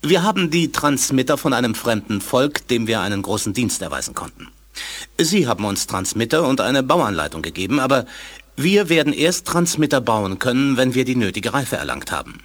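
Alien scientist, husky, lively intonation, formal, measured, guarded, audio drama. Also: male voice, mid-range voice. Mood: solemn explaining. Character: courteous, guarded alien scientist sharing old secrets. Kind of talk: German audio drama acting.

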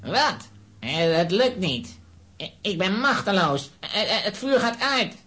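An actor playing a sinister, boastful sorcerer villain in a children's audio drama, speaking in measured, menacing pronouncements. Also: male voice, high voice, big pitch swings, bright, forceful tone, husky, full character voice. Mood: panicked.

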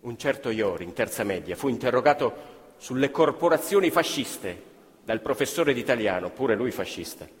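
A man narrates a stage play with emphatic, declamatory delivery and a voice that ranges widely in pitch. The sound comes from a live theatre performance, with a long reverb around him. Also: lively intonation, moderate pace.

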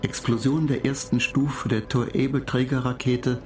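A cool, condescending computer mind, played by a man in a radio drama. The voice sits at a medium pitch and recites in a measured, emotionless manner, with clearly audible music behind it. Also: level tone, natural voice. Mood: detached. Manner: detached, measured, relentless recital. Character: cool, superior computer mind lecturing human space travellers. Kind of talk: German radio play, recited log of facts.

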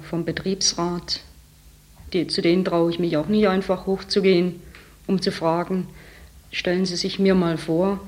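Frank young saleswoman, lower voice. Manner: frank, critical, talks with some hesitation. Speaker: department store saleswoman. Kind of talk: interview.

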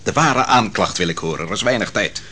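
A man's voice: fairly low pitch, expressive, brisk, sharp tone, breathy edge, heavily acted. A man acting a brusque, impatient interrogator in a Dutch radio play, asking curt, demanding questions. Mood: curt, pressing.

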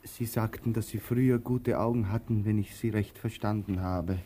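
An actor playing an earnest, questioning tramp with an insistent, inquisitive manner in a radio drama. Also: male voice, fairly low pitch, fairly even intonation, moderate pace, dark tone, lightly acted. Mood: earnest, measured. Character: earnest, questioning male tramp, bossy yet helpful. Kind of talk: theatrical radio drama acting.

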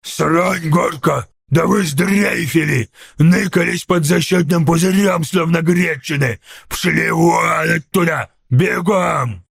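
A man voicing an irritable, crude orc mechanic in an audiobook, with a growling, raspy delivery. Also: on the high side, measured pace, fairly bright tone, dry recording, clean studio sound, full character voice. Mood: furious. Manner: snarling, crude. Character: irritable, snarling male orc mechanic, easily riled bully.

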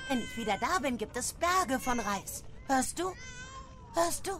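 A woman's voice: sits high, animated, unhurried, background noise. A woman playing a determined, devoted young boy in a radio drama. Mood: urgent, reassuring.

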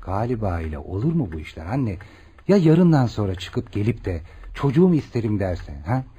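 An actor playing an earnest, caring young male doctor in a Turkish radio play, with an expressive, questioning manner. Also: fairly low pitch, brisk, slightly rough, muffled recording, heavily acted. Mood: incredulous, probing. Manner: expressive, probing, reassuring. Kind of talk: Turkish radio theatre acting.

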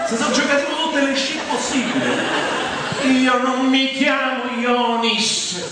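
A man from Rome with a Roman accent, delivering a comic autobiographical stage monologue in a conversational, exasperated manner. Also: extremely high pitch. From Rome, Italy. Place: live stage performance in a theatre. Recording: echoey theatre hall, distant mic, noisy.

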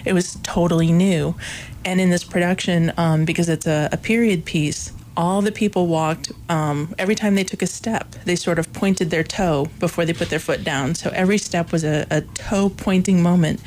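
A woman from Illinois, a theater professor, talks about her career as a radio guest. Her voice is on the low side and loud, and she often laughs between thoughts. Faint room ambience is heard behind her. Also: steady tone, moderate pace, slight background noise. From Illinois, USA. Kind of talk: interview conversation. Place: college radio studio.

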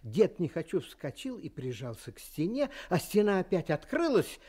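A man's voice: high-pitched, very animated, moderate pace, dark tone, slightly rough. A man narrating a fairy tale expressively as a storyteller.

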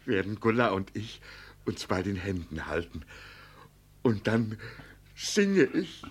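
An actor playing a doting old country father in a radio play, dreamy and playfully boastful, with a husky voice and a singsong manner. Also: on the low side, sing-song, exaggerated voice. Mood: fond, dreamy. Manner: slow, singsong, savouring his words. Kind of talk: German radio play acting.

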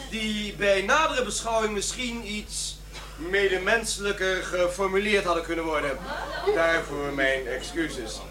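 A man playing an irritable, self-righteous office worker in a radio drama, defensive, pompous and wordy in his way of talking. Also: high-pitched.

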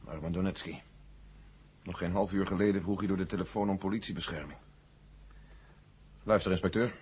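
An actor playing a grave, commanding general in a science-fiction radio drama, speaking with insistent authority. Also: male voice, deep voice, fairly quick, a little mellow, husky. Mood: grave, dismayed. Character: grave, commanding male general giving urgent orders. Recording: dull old radio drama recording.

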